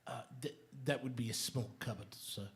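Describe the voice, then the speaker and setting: A man's voice: mid-range voice, normal speed, gravelly, natural voice. A man in a radio drama playing a shifty swindler, theatrical in manner, by turns servile and sneering. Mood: servile, nervous. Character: shifty male swindler, servile to boss, gloating bully.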